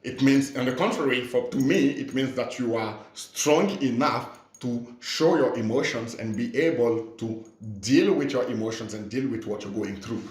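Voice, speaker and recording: A man's voice: medium pitch, normal speed, hardly any pauses. A man talking casually and earnestly on a podcast, reflecting and often adding "you know". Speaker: podcast speaker. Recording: roomy, echoey podcast recording.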